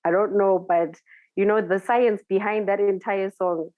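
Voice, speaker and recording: A woman's voice: mid-range voice, steady tone, moderate pace, no room sound, phone-quality sound. A woman DJ with a Zimbabwean accent, talking casually in an interview, thoughtful and searching for words. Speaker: DJ guest.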